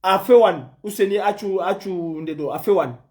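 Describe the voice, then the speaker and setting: A man with a somewhat high voice hosts a live stream and takes on a caller in a heated argument. He lectures insistently and says the same point again and again. He comes from Senegal. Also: normal speed, a little mellow. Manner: insistent, lecturing, repeats himself. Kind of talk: heated live call-in debate.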